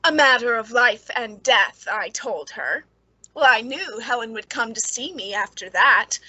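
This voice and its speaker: A woman's voice: a little high, lively intonation, measured pace, sharp tone, highs cut off. An actress playing a nervous, secretly bitter woman with a hesitant, pleading manner in an old-time radio suspense drama. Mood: brooding narration.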